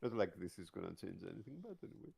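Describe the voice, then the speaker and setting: Low, creaky-voiced man at a game. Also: very animated, fast, darkish tone. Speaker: tabletop game player. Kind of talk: casual game chat.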